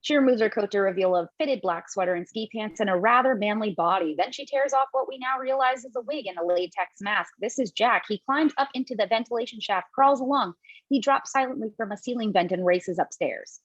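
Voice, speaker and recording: A woman's voice: a little high, a little fast, dry recording, lo-fi sound. Woman reading stage directions. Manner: reads directions plainly, with casual asides. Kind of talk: table read narration.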